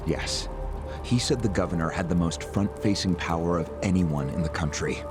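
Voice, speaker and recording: An actor playing a smug, calculating political schemer who explains things at length in a video game cutscene. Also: male voice, low-pitched, moderate pace, loud music behind the voice, close to an everyday voice. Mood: smug, lecturing. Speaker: character in a crime drama video game.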